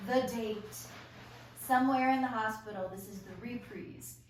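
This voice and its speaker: A woman's voice: on the high side, normal speed. An encouraging, enthusiastic woman leading a group and reading out stage directions at a table read. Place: echoey room, recorded from afar. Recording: roomy echo, distant mic, faint noise.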